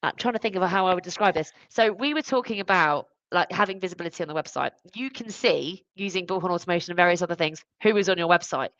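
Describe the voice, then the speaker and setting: A woman's voice: on the low side, fast, few pauses, fairly bright tone, no echo, dull audio. Emphatic woman explaining, dry webcast sound. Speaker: recruitment automation consultant. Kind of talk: live business discussion. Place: online live stream.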